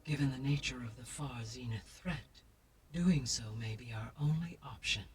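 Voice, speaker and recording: A woman voicing a calm, formal female AI guardian in a video game, speaking in a measured, precise way. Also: rumbling low, a little hiss or hum. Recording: distant game audio with music.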